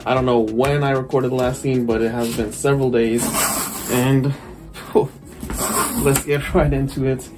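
A young man chatting to camera for his car vlog in a loose, rambling way, filling gaps with "like" and "literally". His voice sits at an ordinary middle pitch for a man. He speaks in easy, unscripted bursts. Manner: rambling, heavy use of "like" and "literally". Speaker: car vlogger. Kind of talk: casual vlog talk to camera.

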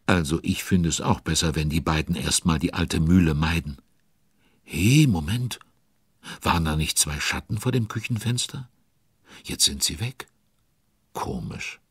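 A man narrating a children's audio drama as a chatty, involved storyteller with conspiratorial asides and a husky voice. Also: low-pitched, a little slow, pauses often, no room sound, studio quality, heavily acted. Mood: chatty, musing.

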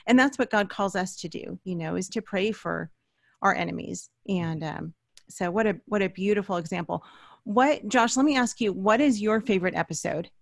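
A woman's voice: mid-range voice, normal speed, vocal fry, no room sound. A middle-aged woman hosting an interview in an earnest, affirming, conversational way, close to a studio microphone. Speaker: interview host. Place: indoor home-style studio. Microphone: large desk microphone, close.

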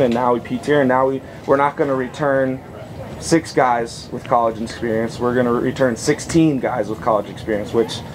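A man in his thirties, a head coach, talking through a postgame press conference in an upbeat, fast-flowing way with restarts. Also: medium pitch, noisy background. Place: outdoor tent at a poolside press table.

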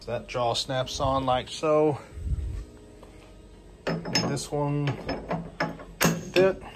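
Shop owner, male, mid pitch, easygoing walkthrough voice, phone audio with clear workshop noise behind. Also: very slow. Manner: relaxed, explains step by step with fillers. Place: machine shop beside a CNC mill.

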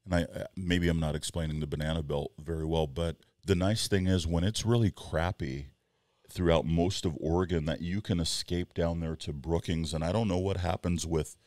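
A man in his forties, co-hosting a podcast in casual chat, rambling and animated as he tells stories with quoted asides. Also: deep voice, moderate pace, no echo, clean studio sound. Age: 40s. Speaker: podcast co-host. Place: small wood-paneled studio room. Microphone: headset and boom mic.

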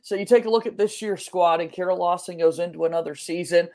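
A man's voice: high-pitched, quick pace, darkish tone. A man in his thirties hosting a sports podcast in an eager, enthusiastic way from a small room at home. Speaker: podcast host.

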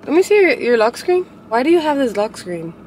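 A woman's voice: high voice, big pitch swings, a little fast, very noisy. A young woman vlogger chatting casually and bluntly with a friend in a car. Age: young adult. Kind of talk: casual chat. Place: inside a car.

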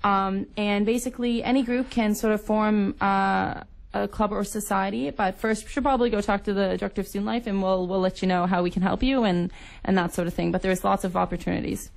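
A young woman being interviewed in a casual way. She talks quickly, with a creaky edge to her voice, and sounds like a student representative explaining how things work. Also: medium pitch, steady tone. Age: young adult. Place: radio studio.

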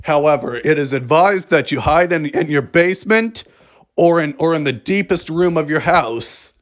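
A man reading a scripted emergency alert broadcast in a formal, measured manner. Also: fairly high pitch.

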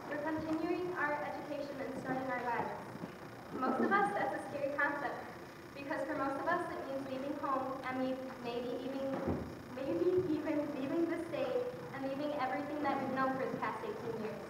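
A woman's voice: high-pitched, moderate pace, background noise. A graduating student in her late teens with a Michigan accent gives an earnest prepared speech at a podium in a large auditorium. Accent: Michigan English. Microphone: podium mic heard from far camera. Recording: echoey auditorium, distant.

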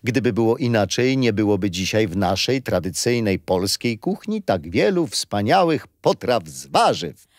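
An actor playing a kindly, patient grandfather who explains history with gentle amusement in a children's radio play. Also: on the low side, very animated, moderate pace, no echo, clean studio sound. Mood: warm, teaching.

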